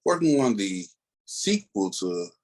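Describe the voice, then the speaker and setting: A man's voice: lowish voice, big pitch swings, slow, a little mellow, slight background noise. A man co-hosting a podcast in casual chat, with a laid-back, slangy, colloquial way of talking. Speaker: podcast co-host.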